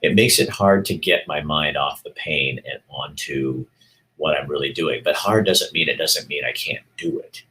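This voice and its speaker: Very deep-voiced man rambling live. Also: moderate pace, bright, forceful tone. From Oregon. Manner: rambling, candid, thinking aloud. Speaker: show host. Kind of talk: casual live-stream talk to viewers.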